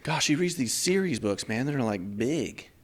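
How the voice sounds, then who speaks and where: A man in his thirties, co-hosting a podcast in relaxed, rambling chat, laughing often. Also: medium pitch, measured pace. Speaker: podcast co-host. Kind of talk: casual chat.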